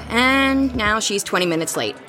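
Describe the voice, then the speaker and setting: An actress playing a young student who works as an in-home companion and speaks frankly, sounding exasperated. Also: female voice, medium pitch, expressive, slow pace, over music.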